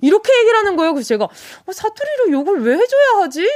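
Young woman, radio DJ, high voice, very animated, dry studio sound. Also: fast talker, no echo. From Busan, South Korea. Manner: bubbly, laughs often, acts out listeners' messages. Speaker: radio DJ host. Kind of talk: casual radio chat reading listener texts. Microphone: studio microphone.

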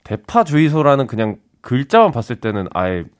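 A man's voice: mid-range voice, sing-song, brisk. A man co-hosting a casual chat, easygoing and curious, who laughs along. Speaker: radio host.

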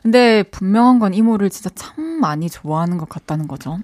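A woman hosting a radio segment in casual chat, reacting with quick exclamations and animated comments. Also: medium pitch, no room sound, clean studio sound. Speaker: radio host.

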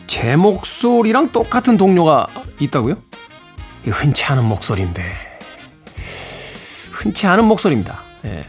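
Lively middle-aged male radio DJ over music. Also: on the high side, moderate pace, pauses often. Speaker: radio host and DJ. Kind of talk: music radio presenting with casual personal anecdotes.

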